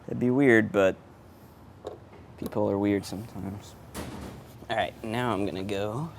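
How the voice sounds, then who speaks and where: A man's voice: on the low side, steady tone, a little slow. A man talking casually and offhand as a sound engineer setting up gear, often muttering to himself. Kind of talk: casual vlog talk while setting up gear. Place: indoors in a print shop. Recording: clear background noise, room ambience.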